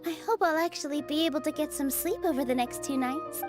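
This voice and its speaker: A woman playing a gentle, earnest young theater performer in an anime video game, sincere and encouraging. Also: sits high, fairly quick, close to an everyday voice. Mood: determined, hopeful. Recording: game music behind the voice.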